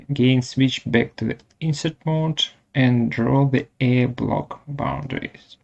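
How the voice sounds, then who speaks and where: A man presenting a step-by-step software tutorial in short, halting instructional phrases, with a gravelly voice. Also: mid-range voice, lo-fi sound.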